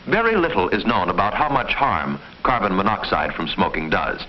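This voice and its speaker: A man reading formal, measured, didactic scripted narration in the style of a mid-century educational film. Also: deep voice, very animated. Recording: muffled, noisy old film soundtrack.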